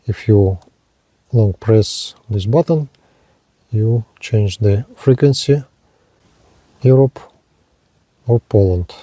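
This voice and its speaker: A man giving a hands-on gadget demonstration as a reviewer, speaking in short, halting, item-by-item phrases. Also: on the low side, dark tone, no echo. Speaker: product reviewer, presenter.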